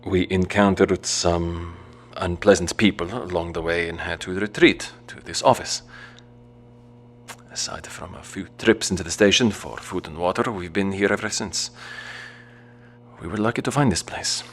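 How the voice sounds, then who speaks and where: An actor playing a gentle, devout father and wary survivor in an audio drama, speaking in a measured, formal, polite way. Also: low voice, a little hiss or hum. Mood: calm, polite.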